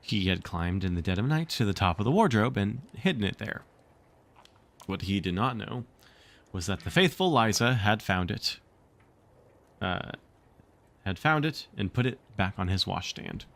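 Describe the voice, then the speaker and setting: A man streaming casually, chatting and reading a storybook aloud in an expressive, informal way. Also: fairly low pitch, normal speed, gravelly, pauses often. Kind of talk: casual livestream chat and expressive read-aloud narration.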